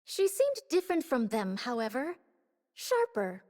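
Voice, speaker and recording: A woman's voice: on the high side, huge pitch swings, unhurried, darkish tone, in a large hall. An actress playing a noble woman warrior who speaks in old-fashioned, courtly language.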